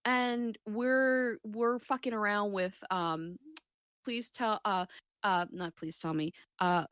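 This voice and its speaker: Woman podcast host, medium pitch, rambly n sweary, dry close mic. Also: steady tone, slow pace, a bit bright, clear, resonant tone, no room sound. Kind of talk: casual chat.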